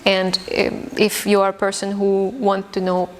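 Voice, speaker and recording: A young woman from Tunisia who speaks English as a second language after Arabic, talking as a guest teacher in a casual interview, thoughtful and pausing often to restart her sentences. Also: medium pitch, completely flat delivery, slight background noise. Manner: thoughtful, with frequent hesitations and restarts.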